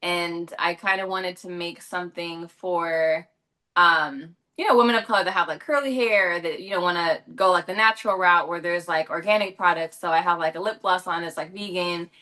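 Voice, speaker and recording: A woman's voice: on the low side, moderate pace, sharp tone. A young woman from Los Angeles answering interview questions in a chatty way, with many fillers and self-corrections. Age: young adult. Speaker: actress and guest.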